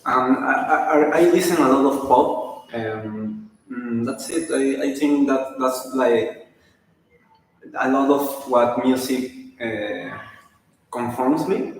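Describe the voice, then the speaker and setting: Slow, hesitant man in an echoey room. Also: medium pitch. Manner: slow, hesitant, many ums. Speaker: band member. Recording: echoey room, far from mic, faint noise.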